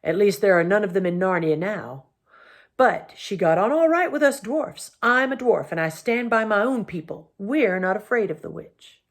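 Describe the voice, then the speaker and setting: A woman's voice: medium pitch, lively intonation, moderate pace, lightly acted. A woman voicing a bitter, defiant male dwarf in a storybook, arguing with cold, sneering emphasis.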